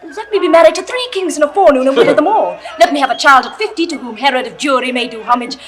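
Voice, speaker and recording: A woman's voice: high-pitched, fast, close to an everyday voice. An actress playing a playful, bawdy young female attendant in a 1960s audio drama, teasing and giggling through verse. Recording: vintage studio recording with music.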